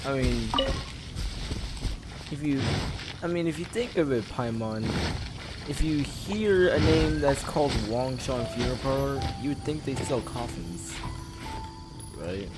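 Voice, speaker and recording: A man giving casual, rambling gameplay commentary, often repeating phrases, with loud game music behind. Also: mid-range voice, animated, unhurried, pauses often. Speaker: game streamer.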